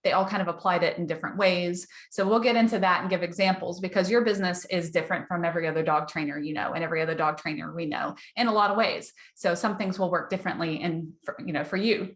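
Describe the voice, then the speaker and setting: A woman's voice: lowish voice, fairly bright tone, reverberant. A woman hosting a casual webinar for dog trainers, chatty and upbeat as she tells client success stories, her voice wearing out. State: losing her voice. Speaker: dog trainer business coach, webinar host.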